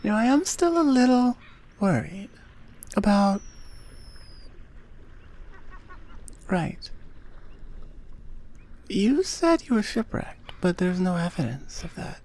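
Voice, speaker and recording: A woman playing a regal, centuries-old Amazon queen in an ASMR roleplay, speaking softly and formally with deliberate pauses. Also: medium pitch, big pitch swings. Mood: concerned, probing. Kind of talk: ASMR roleplay audio drama, addressed to the listener.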